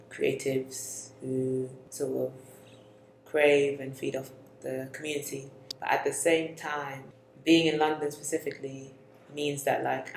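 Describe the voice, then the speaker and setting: Young adult speaker, mid-pitched, soft and musing, roomy echo. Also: vocal fry, slight background noise. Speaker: co-host. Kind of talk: reflective personal conversation. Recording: echoey room.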